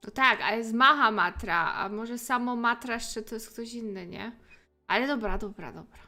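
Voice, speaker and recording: A woman's voice: on the high side, fairly quick, pushed and bright. A Polish-accented woman streamer giving casual, chatty commentary over a game. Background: game music.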